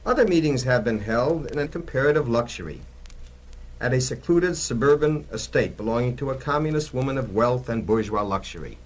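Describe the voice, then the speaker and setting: Man narrating with lively intonation. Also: medium pitch, a little fast, low-quality recording. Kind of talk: 1960s scripted dramatic narration.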